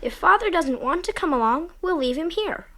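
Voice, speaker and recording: A woman's voice: extremely high pitch, lively intonation, moderate pace, sharp tone, full character voice. A woman playing an eager, imaginative young boy in a radio play, earnest and full of questions.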